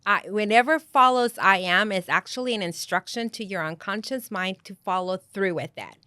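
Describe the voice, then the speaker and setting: A woman from Mexico whose first language is Spanish, a guest coach talking lively and encouraging, laughing easily. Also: medium pitch, moderate pace, smooth, no echo, clean studio sound. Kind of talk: casual podcast interview.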